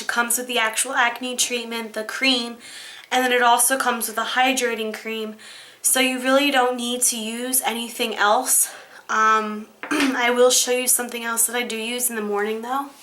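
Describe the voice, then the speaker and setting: A young woman in a casual skincare tutorial, chatty with many fillers, her voice a little hoarse from being sick. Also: on the high side, pushed and bright. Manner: chatty, demonstrating while talking, many ums and likes. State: slightly hoarse, recovering from illness. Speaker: beauty vlogger.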